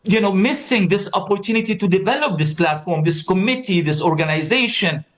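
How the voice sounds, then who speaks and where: Panel speaker: man from Palestine, fairly high voice, impassioned, repeats key words to drive them home. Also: expressive, a little fast, a bit bright. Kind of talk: conference speech.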